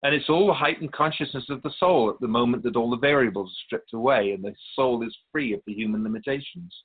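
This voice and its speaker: A man playing a wise otherworldly keeper of souls in a radio drama, speaking in a measured, philosophical, instructive way. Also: mid-range voice, slightly rough, phone-quality sound, lightly acted. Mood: calm, instructive. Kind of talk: radio drama dialogue in interview form.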